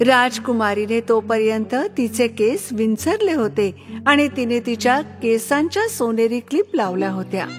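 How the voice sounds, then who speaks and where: A woman's voice: a little high, expressive, normal speed, soft background music. A woman narrator reading a scripted fairy tale for children.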